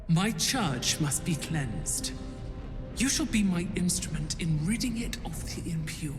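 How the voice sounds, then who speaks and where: Dark goddess for a game: commanding, coldly approving, grandiose, unhurried, cavernous echo. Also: female voice, fairly low pitch, animated. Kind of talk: dramatic video game acting. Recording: echoing hall reverb, music behind.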